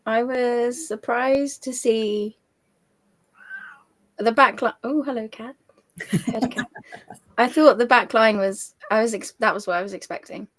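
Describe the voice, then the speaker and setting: A woman on a fan podcast panel, slightly hoarse, chatting and correcting herself as she talks. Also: a little high, fairly even intonation, unhurried, pauses often, a bit bright. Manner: chatty, hesitant, self-correcting. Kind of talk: casual fan podcast chat.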